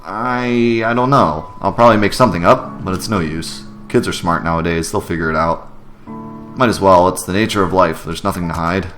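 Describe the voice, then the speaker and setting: A man voicing a gentle, resigned widowed mother who works as a caretaker in a video game. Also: sits low, normal speed. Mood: wistful. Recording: music behind the voice.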